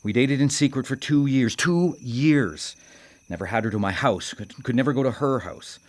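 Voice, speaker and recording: An actor in a radio drama playing a guilt-ridden male killer, halting as he confesses and menacing in manner. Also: medium pitch, close to an everyday voice. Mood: agitated, angry. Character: brooding guilt-ridden male serial killer, haunted by his mother. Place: live radio drama broadcast.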